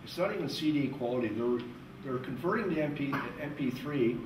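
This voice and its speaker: A man's voice: medium pitch, expressive, fairly quick. A man speaking up casually from an audience, blunt and opinionated. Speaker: audience member, musician. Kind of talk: casual spoken comments from the audience. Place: echoey room at a live presentation. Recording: echoey room, distant mic, clear background noise.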